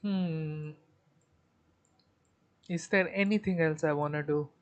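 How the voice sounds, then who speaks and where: A woman's voice: sits low, slow pace, muffled recording. A woman streaming a video game, casually thinking aloud and talking to her chat. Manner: hesitant restarts while counting. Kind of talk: casual live-stream commentary.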